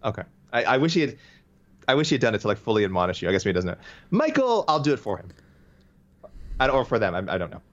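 A man's voice: fairly low pitch, very animated, quick pace, pauses often, lo-fi sound. A man co-hosting a podcast in casual chat, chatty and playful, reading out listener messages. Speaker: podcast co-host.

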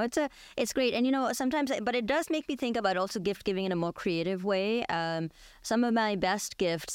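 Clear-voiced woman hosting a discussion. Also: fairly low pitch, no room sound, studio quality. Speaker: podcast host. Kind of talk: conversational interview discussion.

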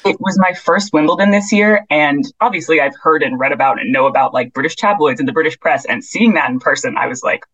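A woman sports reporter talking in a casual interview, chatty and quick, often restarting her sentences and laughing. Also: sits low, fairly bright tone, husky, no echo, dull audio. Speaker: tennis and basketball beat reporter.

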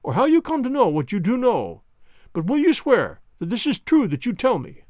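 Man doing a bitter crippled ex-soldier, raised pitch, impassioned, lively swings, dry close sound. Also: brisk, dry recording. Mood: vehement. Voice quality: vehement, impassioned delivery. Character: bitter, twisted former soldier, middle-aged man. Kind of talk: audiobook character voicing.

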